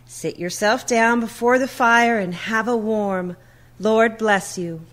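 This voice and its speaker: A woman playing a warm, bustling working-class mother in a radio play. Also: fairly high pitch, slow pace. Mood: affectionate.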